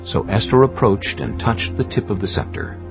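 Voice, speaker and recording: Very deep, gravelly male scripture narrator. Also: moderate pace, dull audio, music in the background.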